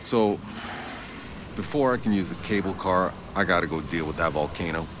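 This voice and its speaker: Deep-voiced terse soldier played by a man. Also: normal speed, close to an everyday voice. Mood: grim resolve. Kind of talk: video game cutscene acting. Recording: clear background noise.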